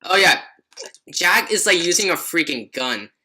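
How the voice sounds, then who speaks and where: Bright-voiced man chatting on a podcast. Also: high-pitched, lively intonation, a little slow. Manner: rambling, leans heavily on "like". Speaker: podcast host. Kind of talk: casual chat.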